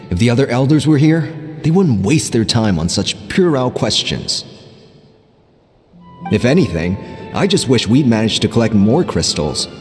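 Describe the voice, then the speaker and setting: Man acting sly smug leader, game character, lively swinging pitch, theatrical delivery, reverberant hall, music underneath. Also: normal speed, pauses often, natural voice. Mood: mocking, smug. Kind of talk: video game voice acting, dramatic dialogue.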